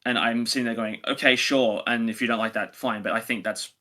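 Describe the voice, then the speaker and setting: A man's voice: medium pitch, fairly even intonation, fairly quick, sharp tone. A man co-hosting a review podcast, talking casually and conversationally as he gives his opinions.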